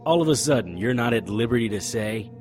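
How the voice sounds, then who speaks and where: A man's voice: mid-range voice, animated, fairly quick, a little mellow, soft background music, natural voice. A man playing a wry, stubborn occult investigator who narrates and argues in a noir audio drama. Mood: sardonic, insistent. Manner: sardonic, confrontational.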